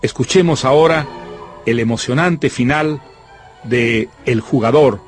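Guy hosting a book radio show, medium pitch, measured scripted intro, music behind him. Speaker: host.

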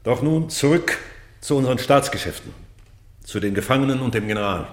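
A man's voice: mid-range voice, lively intonation, fairly quick, close to an everyday voice. An actor playing a proud, remorseful old British king, declaiming solemnly in classical verse for a radio play. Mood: regal, commanding. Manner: declamatory, solemn, breaking into hushed tones.

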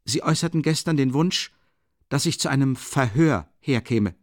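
Man playing a defiant, irritated young man, high and loud, audiobook reading. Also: expressive, moderate pace, no room sound, clean studio sound, natural voice. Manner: clipped, sharp, nearly shouting. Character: irritable young male student, tense and defiant.

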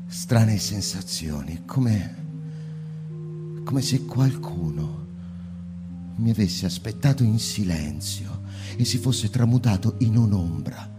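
An actor as a book-burning fireman narrating over music. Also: deep voice. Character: fireman who burns books, middle-aged man. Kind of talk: radio drama narration with music.

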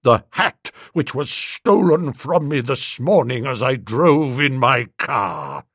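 A man's voice: mid-range voice, lively intonation, slow pace, a bit bright, no echo, clean studio sound. A man voicing a stern, nasty elderly knight with an upper-class English accent and a cold, imperious manner, in an audiobook story. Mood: icy anger.

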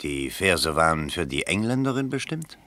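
Self-important political candidate, very low voice, bright and forceful, radio drama. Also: male voice, very animated, moderate pace, no echo, full character voice. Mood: flattering, intrigued. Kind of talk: German radio play acting.

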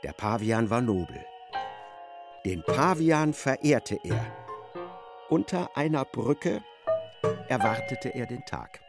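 A man narrating a radio play as literary storyteller, in a measured and wry manner. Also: medium pitch, sing-song, over music.

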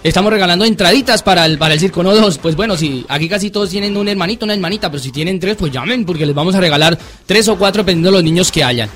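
A man's voice: high-pitched, racing, fairly bright tone. A man hosting a children's call-in program, chatting with young callers in a doting, exclamatory way.

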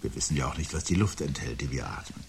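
An actor playing a weary, sardonic husband in a 1970s German radio drama, speaking deliberately and rhetorically. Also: male voice, a bass voice, a little hiss or hum. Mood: weary resignation. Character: weary, bitter, sardonic husband awaiting catastrophe.

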